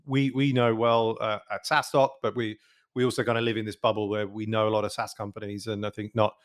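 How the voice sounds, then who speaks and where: A man's voice: fairly low pitch, level tone, brisk. A man hosting a podcast interview, chatty and rambling with many fillers. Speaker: podcast host. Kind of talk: casual interview chat.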